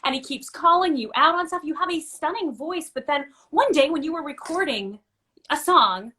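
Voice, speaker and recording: A woman broadcaster hosting a casual interview, chatting and telling stories in an animated way. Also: sits high, normal speed.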